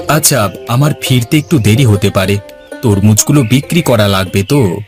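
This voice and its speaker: A man's voice: lowish voice, moderate pace, a little mellow, faint music behind, lightly acted. A man voicing an earnest, dutiful village watermelon farmer in a cartoon, plain-spoken in manner. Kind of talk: cartoon dialogue.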